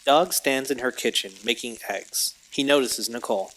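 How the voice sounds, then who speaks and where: A man's voice: medium pitch, normal speed, fairly bright tone, poor audio. A man narrating an audio drama from a script, describing the action in a matter-of-fact way.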